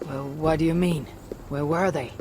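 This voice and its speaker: An actor playing a calm, determined Viking warrior in a video game cutscene. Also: male voice, fairly high pitch, normal speed, noisy background, close to an everyday voice. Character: steady male Viking warrior, calm and resolute. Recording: game audio with reverb and music.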